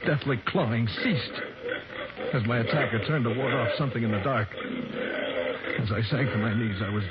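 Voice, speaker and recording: A man's voice: medium pitch, normal speed, natural voice. An actor playing a shaken, wounded man who narrates his ordeal in urgent, dramatic 1940s radio style. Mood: tense. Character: shaken, wounded grown man, intense storyteller. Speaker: first-person narrator. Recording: dull old radio recording with loud music.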